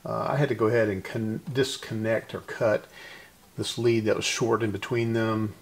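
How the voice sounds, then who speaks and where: Older man, low gravelly voice. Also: steady tone, normal speed. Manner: unscripted, thinking aloud while working. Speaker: host repairing vintage radios. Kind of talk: casual hands-on repair vlog. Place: indoor electronics workbench. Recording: noisy workshop, clicking sounds.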